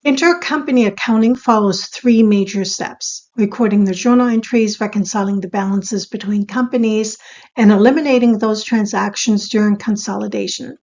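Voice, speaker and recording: A woman giving a scripted explainer as an instructor, in a measured, step-by-step teaching manner. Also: mid-range voice, darkish tone, dull audio. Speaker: accounting instructor.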